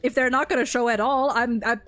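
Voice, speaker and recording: A woman with a fairly high voice talks in an excitable, rambling stream of casual chat, like a host thinking aloud on her livestream. Soft music plays behind her. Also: quick pace.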